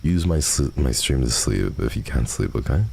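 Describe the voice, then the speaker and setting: A man's voice: rumbling low, lively intonation, moderate pace, creaky, a little mellow. A man hosting a livestream, chatting casually and answering viewer messages at a close microphone. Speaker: livestream host. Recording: faint background noise.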